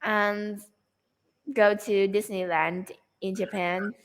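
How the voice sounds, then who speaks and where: Slow, hesitant woman. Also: medium pitch, little pitch movement. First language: Vietnamese. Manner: hesitant, searching for words. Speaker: English learner. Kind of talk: casual conversation lesson.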